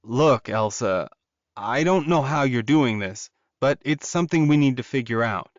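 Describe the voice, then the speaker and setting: A man's voice: medium pitch, animated, a little slow, dry recording, highs cut off, natural voice. Man as a commanding werewolf alpha, dry sound. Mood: firm, hurried. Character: commanding male werewolf alpha, brisk and composed.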